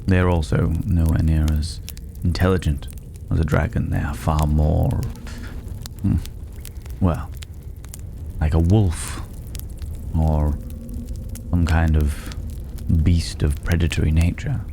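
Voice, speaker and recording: Man playing a very deep, teasing mysterious drifter. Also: fairly even intonation, warm tone, heavily acted. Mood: wry, amused. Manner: unhurried, wry, condescending, with drawn-out pauses. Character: regal, teasing male drifter, secretly a dragon. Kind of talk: intimate ASMR roleplay acting. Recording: clearly audible background noise.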